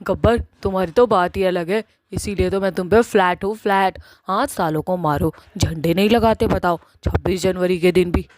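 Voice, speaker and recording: A woman's voice: lowish voice, moderate pace, hardly any pauses, a little mellow, no echo, natural voice. Woman doing a bossy cartoon witch, angry and scolding, threats galore, really dry close sound. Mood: teasing admiration. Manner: scolding, emphatic, repeats words for menace. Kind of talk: Hindi cartoon horror-comedy acting.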